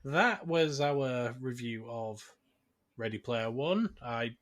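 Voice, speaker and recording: A man with a medium-pitched voice hosts a review show. He speaks in casual, conversational podcast style. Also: expressive, slow pace, fairly bright tone. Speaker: podcast host.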